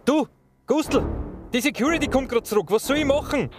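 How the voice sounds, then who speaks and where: An actor playing a hesitant, uneasy accomplice with an Austrian accent, speaking haltingly in a radio drama. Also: male voice, very high voice, loud music behind the voice. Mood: nervous, hurried. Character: hesitant male accomplice bluffing his way through. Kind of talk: radio drama acting, colloquial.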